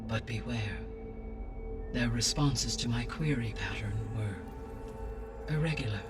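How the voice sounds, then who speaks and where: An actor playing a calm, grave artificial intelligence in a video game, speaking formally with precise, deliberate diction. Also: male voice, mid-range voice, distant mic, over loud music, lightly acted. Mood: solemn, informative. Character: calm male artificial intelligence, grave, all-knowing custodian. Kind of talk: cinematic video game acting, exposition.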